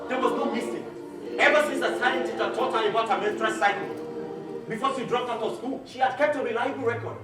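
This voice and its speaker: A man's voice: very high-pitched, fast. A man with a Nigerian accent narrating a one-man play as theatrical, scripted storytelling. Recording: echoey hall, distant mic, music behind.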